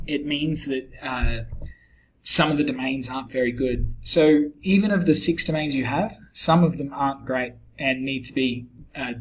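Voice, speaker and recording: A man with a croaky, hoarse voice talking a client through a website review and sales pitch in a relaxed, conversational way. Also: slight background noise, poor audio. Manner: conversational, explanatory, frequent fillers and restarts. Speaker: SEO agency founder pitching to a client.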